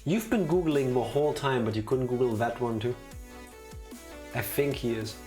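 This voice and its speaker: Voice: mid-range voice, moderate pace, dark tone. A streamer in casual conversation before a game stream, with an opinionated, playfully teasing way of talking. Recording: music playing behind the voice. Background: music.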